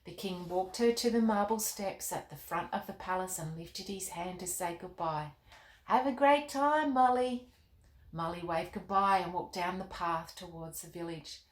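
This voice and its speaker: A woman hosting children's storytime, narrating expressively and chatting to young listeners in an animated, encouraging way. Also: lowish voice, normal speed, hardly any pauses, reverberant. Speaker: children's author and storytime host.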